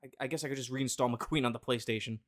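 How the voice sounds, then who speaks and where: A man's voice: medium pitch, fairly even intonation, fast, a bit bright. A man hosting a podcast in casual chat, joking and rambling with lots of fillers and restarts. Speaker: podcast host.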